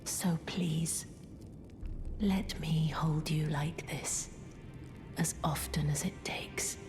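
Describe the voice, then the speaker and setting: Melancholy, gentle maiden for a fantasy game: near-whisper, very unhurried, low and courteous. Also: female voice, fairly even intonation, over music. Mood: sorrowful, pleading. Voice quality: soft, breathy near-whisper. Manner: slow, hushed, pleading courtesy. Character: gentle, sorrowful female deathbed companion, courtly and intimate. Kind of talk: video game voice acting.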